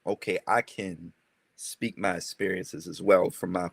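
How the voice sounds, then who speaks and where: Gravelly low-voiced young man rambling. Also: measured pace, no room sound. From Maryland, USA. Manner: rambling, animated storytelling with many fillers. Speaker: interview guest, rapper. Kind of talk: casual interview chat.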